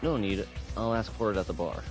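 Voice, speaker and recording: A man plays a reserved male android in a visual novel, speaking in a low voice. He is blunt, proud and terse, with music underneath. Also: a little fast, lightly acted. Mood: matter-of-fact.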